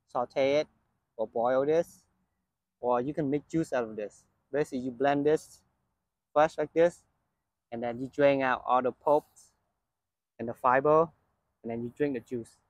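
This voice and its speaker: A man's voice: medium pitch, dry recording. A man giving a casual walkthrough of his outdoor vegetable garden while he harvests, filming on a handheld phone. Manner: relaxed, chatty, pointing things out while walking and harvesting. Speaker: gardening channel host. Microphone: handheld phone camera.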